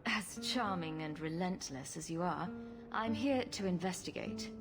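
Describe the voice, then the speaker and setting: A woman's voice: on the low side, measured pace. An actress playing a sarcastic, commanding female rogue adventurer in a fantasy video game. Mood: businesslike. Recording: game audio with music behind.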